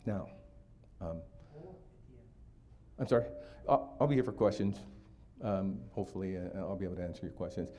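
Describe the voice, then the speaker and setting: A man's voice: low-pitched, normal speed, pauses often, mellow. A man in his sixties from Hawaii, giving a community talk for a district attorney's office, explaining steadily with frequent fillers.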